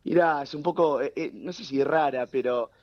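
A man's voice: on the high side, moderate pace, no echo. A young man in his twenties with an Argentine accent, chatting animatedly as a guest over a remote call. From United States. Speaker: guest, TV producer. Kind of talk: casual conversation. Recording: dull, dry remote call line.

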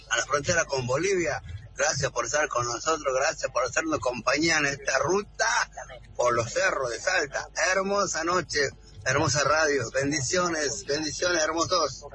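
Bright, forceful Argentine man, effusive. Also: on the high side, poor audio. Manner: effusive, affectionate. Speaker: radio listener leaving a voice message. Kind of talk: casual greeting.

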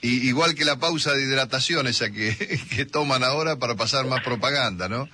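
A man with an Argentine accent hosting a radio interview, chatty and joking, quick to agree with his guest. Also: mid-range voice, background noise. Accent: Rioplatense Spanish. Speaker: radio host. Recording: radio broadcast with music behind.

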